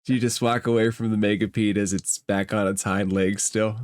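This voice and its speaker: A man's voice: fairly low pitch, little pitch movement, brisk. A man working as game master, narrating a tabletop role-playing game and prompting the players.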